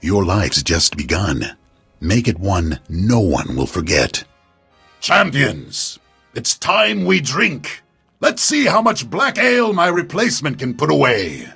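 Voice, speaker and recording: An actor playing a proud old warrior clan leader, gravelly and commanding, in a video game cutscene. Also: male voice, mid-range voice, huge pitch swings, slow, bright, forceful tone, no room sound, soft background music, exaggerated voice. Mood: boisterous, rousing. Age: older. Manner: commanding, declamatory.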